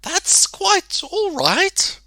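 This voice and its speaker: A man putting on a high, timid, stammering little man. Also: wildly swooping pitch, very slow, sharp tone, no room sound, full character voice. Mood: astonished. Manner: nervous, stammering, hesitant. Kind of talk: children's storybook character voice.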